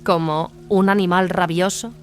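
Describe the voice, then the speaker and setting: A woman playing a stern, commanding warrior sister in an audio drama, speaking with firm, measured authority. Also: lowish voice, fairly even intonation, lightly acted. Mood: cold, suspicious. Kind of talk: dramatized audio fiction acting. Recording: music behind the voice.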